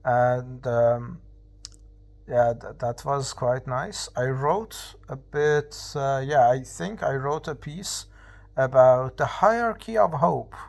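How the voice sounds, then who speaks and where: A man in his twenties from Iran, speaking English, rants bluntly and profanely in a casual vlog, pausing and restarting often. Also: mid-range voice, creaky. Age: 20s. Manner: halting, many fillers and restarts. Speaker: vlogger.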